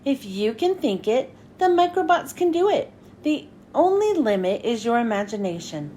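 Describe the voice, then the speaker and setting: A woman's voice: on the high side, very animated, normal speed, dark tone, a little hiss or hum. A woman voicing a cocky, eager teenage boy inventor from a storybook, brash and casual. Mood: proud showman.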